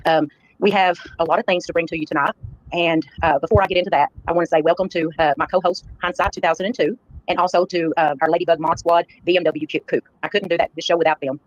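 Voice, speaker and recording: A woman hosting a livestream, addressing her viewers in an earnest, halting way. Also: lowish voice, fairly even intonation. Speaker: live stream host. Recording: dull, lo-fi livestream playback.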